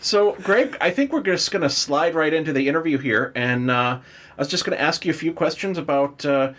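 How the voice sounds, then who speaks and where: A man's voice: a little high, animated, a little fast, fairly bright tone. A man hosting a podcast in a casual interview style, chatty and enthusiastic in manner. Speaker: podcast host.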